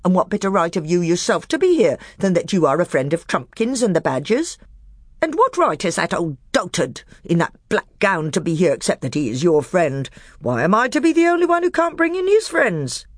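A man doing a snarling bitter dwarf, high and loud. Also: big pitch swings, fairly quick, dry recording, clean studio sound. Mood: angry. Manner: snarling, accusing.

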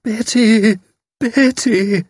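A man's voice: high voice, expressive, a little fast, gravelly, slightly rough, dry recording, clean studio sound, pitched above the performer's own voice, heavily acted. A man voicing a distraught, panicked and weepy young mother in an audiobook, with a breathless, wailing manner. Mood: frantic. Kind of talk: audiobook character voice.

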